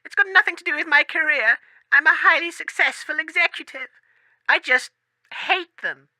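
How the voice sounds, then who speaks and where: A woman's voice: high voice, normal speed, bright, forceful tone, dry recording, low-quality recording. A woman playing a callous, haughty executive mother, brusque and indignant, calling a comedy radio show. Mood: disdainful. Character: callous, haughty female executive mother who hates children. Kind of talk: scripted comedy radio phone-in acting.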